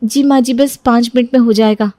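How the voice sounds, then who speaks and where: A woman's voice: on the high side, fairly even intonation, a little fast, dark tone, no echo, lightly acted. A woman voicing a sensible, dutiful young bride who speaks politely and respectfully.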